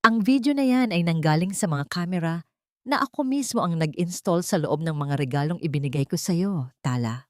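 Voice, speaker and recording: A woman's voice: on the low side, expressive, normal speed, no room sound, studio quality, lightly acted. A woman voicing a cold, authoritative betrayed rich man in a dramatic audio storybook. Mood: cold authority.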